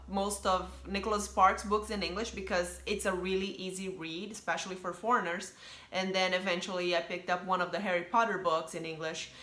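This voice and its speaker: A woman's voice: mid-range voice, bright, forceful tone. A young Brazilian woman with a Brazilian accent, chatting casually as a vlogger, explaining things with fillers. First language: Brazilian Portuguese. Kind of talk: casual chat. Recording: echoey room with faint music.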